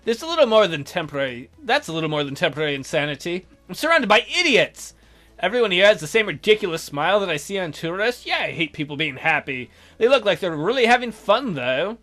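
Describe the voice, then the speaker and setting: A man hosting a let's play, reading a visual novel aloud with expressive, wisecracking commentary. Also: high-pitched, fast. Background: music.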